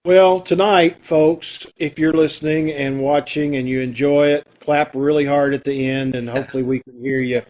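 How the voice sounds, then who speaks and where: A man with a somewhat high voice hosts a community troupe's online show. He talks in a chatty, folksy way, addressing viewers and guests casually over a video call. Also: steady tone, moderate pace, hardly any pauses, a little mellow, poor audio. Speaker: host of an amateur radio theatre troupe's online show. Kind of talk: casual welcoming chat to an online audience.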